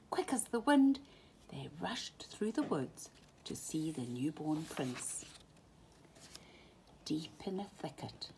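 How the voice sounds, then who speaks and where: Expressive woman reading a children's storybook. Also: fairly low pitch, a little hiss or hum. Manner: expressive, dramatic, often dropping to hushed tones. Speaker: storybook reader. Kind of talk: animated children's storytime narration.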